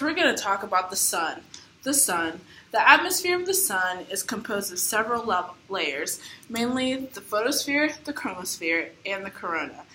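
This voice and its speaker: A woman presents a scripted puppet show lesson in a bright, forceful voice. The room is very echoey, with faint noise in the background. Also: medium pitch. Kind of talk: scripted educational narration.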